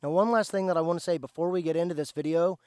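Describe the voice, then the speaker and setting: A slightly hoarse man, patiently explaining step by step as he teaches plant identification outdoors. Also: on the high side, very fast, darkish tone, no room sound, studio quality. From central Indiana, USA. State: slightly hoarse, recovering from lost voice. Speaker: host, foraging teacher. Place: outdoors by a driveway.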